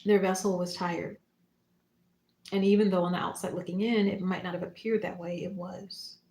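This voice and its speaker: A woman hosting a spiritual livestream, talking casually and reflectively in short phrases and gentle prompts with pauses. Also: fairly low pitch, level tone. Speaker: psychic medium and spiritual teacher hosting a livestream. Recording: roomy, echoing room sound.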